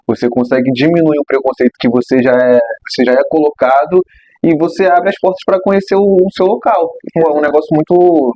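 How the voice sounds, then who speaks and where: A man's voice: fairly high pitch, fairly even intonation, brisk, warm tone, no echo. A young man from Rio de Janeiro, a podcast host asking questions casually with slang and frequent restarts. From Caju, Rio de Janeiro, Brazil. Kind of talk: casual interview. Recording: slightly dull lo-fi mic.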